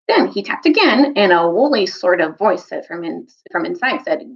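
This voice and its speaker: A woman's voice: fairly low pitch, sing-song, normal speed, gravelly. A woman reading a story aloud expressively, on a slightly muffled recording. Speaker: storytime reader. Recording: slightly dull, muffled audio.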